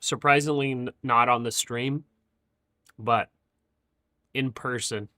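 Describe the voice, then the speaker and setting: A young man hosting a live trading stream, chatting casually with viewers in a monotone voice and calling them bro and dude. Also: mid-range voice, slow pace, no room sound. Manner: says his own voice is monotone. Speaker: livestream trading host.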